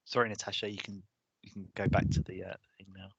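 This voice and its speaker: A man presenting an online course session, hesitant with many restarts, his voice going hoarse. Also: sits low, flat delivery, fast talker, fairly bright tone, no echo, muffled recording. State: losing his voice. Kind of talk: online webinar lecture.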